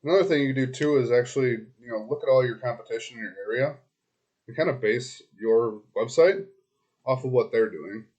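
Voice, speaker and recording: Man, hoarse, mid-pitched, web consultant narrating his screen in a loose, chatty way, thinking out loud with frequent ums. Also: brisk, highs cut off. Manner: talks to the client directly, loose and chatty, thinks aloud with many ums and restarts. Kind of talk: casual screen-recorded walkthrough.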